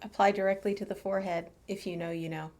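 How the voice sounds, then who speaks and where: A woman's voice: medium pitch, fairly even intonation, fast talker, a little mellow. A woman giving casual, playful, quippy commentary while playing through a game. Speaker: game streamer.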